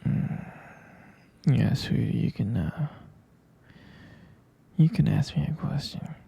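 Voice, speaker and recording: A man in an ASMR roleplay as a tender vampire boyfriend, whispering soothingly and haltingly to help his partner sleep. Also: fairly high pitch, creaky, husky. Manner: soft, halting, soothing whisper with murmured hums. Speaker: ASMR performer.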